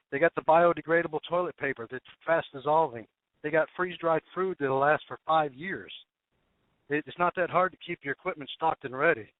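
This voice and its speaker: A man's voice: fairly high pitch, dry recording. A man calling in as a truck driver, giving blunt, emphatic advice in clipped phrases. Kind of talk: unscripted advice on a conference call. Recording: dry, narrow telephone line.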